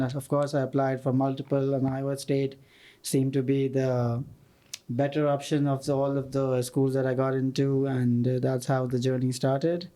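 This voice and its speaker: A man's voice: medium pitch, warm tone. A man from Pakistan, a guest architect, telling his story as a thoughtful, fluent storyteller. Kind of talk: casual interview talk.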